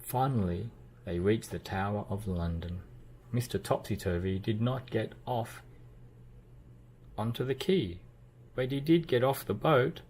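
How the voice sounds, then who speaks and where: A man reading a children's storybook aloud as narrator, with expressive delivery and playful emphasis. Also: lowish voice, a little slow, pauses often, a little hiss or hum. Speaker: children's book reader.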